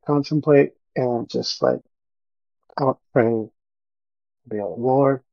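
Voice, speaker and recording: Middle-aged man, fry-laden voice, earnest halting storytelling, podcast guest, dry muffled sound. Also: medium pitch, fairly even intonation, no room sound. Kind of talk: personal testimony storytelling.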